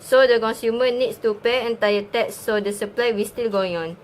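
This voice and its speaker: Young woman presenting, fairly high voice. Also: steady tone, normal speed, a little hiss or hum. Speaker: student in a classroom skit. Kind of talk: acted classroom presentation.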